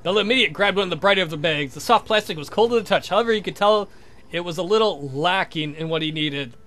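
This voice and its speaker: A man hosting a let's play, narrating a visual novel aloud in an expressive, jokey way. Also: high-pitched, quick pace.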